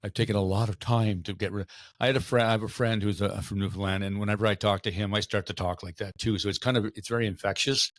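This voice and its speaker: Male co-host, low voice, talks fast, restarts a lot, dry close mic. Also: fairly even intonation, no room sound. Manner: quick, stumbling restarts. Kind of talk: casual podcast interview.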